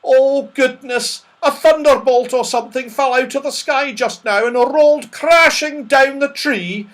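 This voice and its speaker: A man's voice: very high voice, measured pace, fairly bright tone, pitched above the performer's own voice. A man voicing a frightened little female fairy in a children's storybook, exclaiming. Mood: scared.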